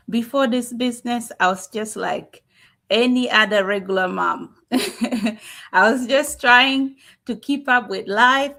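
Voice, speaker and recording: A middle-aged woman from South Sudan speaks as an interview guest, her voice carrying a gravelly fry. She tells her story earnestly and with animation, heard over a video call. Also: a little high, sharp tone. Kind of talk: testimonial interview.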